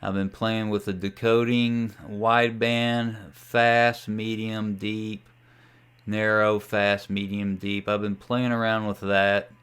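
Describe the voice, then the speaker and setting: A man giving a casual, folksy tutorial as a ham radio hobbyist, talking through a software demo in an unhurried way. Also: fairly low pitch, flat delivery, clear, resonant tone. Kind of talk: casual screen-share tutorial.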